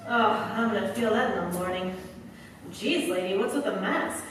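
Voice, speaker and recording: A woman's voice: medium pitch, normal speed, lightly acted. A woman acting a tired, tough factory girl in a 1940s-style radio play, wry and slangy, with an American accent. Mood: sore, exasperated. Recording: very echoey, distant mic, faint noise.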